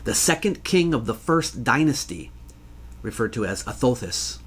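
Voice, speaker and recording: A man narrates educational history material as its host. His delivery is measured and formal, with precise enunciation. His pitch sits in the middle of the usual male range. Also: big pitch swings, fairly bright tone, breathy edge. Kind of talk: scripted educational narration answering listener questions.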